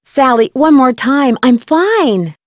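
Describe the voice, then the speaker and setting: Higher-voiced composed young woman detective. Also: sing-song, takes it slowly, no room sound, clean studio sound, heavily acted. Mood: calm, reassuring. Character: calm, polite young woman amateur detective. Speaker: video game protagonist. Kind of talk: scripted game dialogue.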